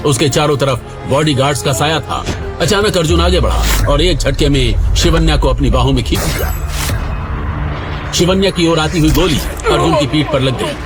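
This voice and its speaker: A man narrating an audio drama in a dramatic, steady storytelling voice. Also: fairly high pitch, normal speed. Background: background music.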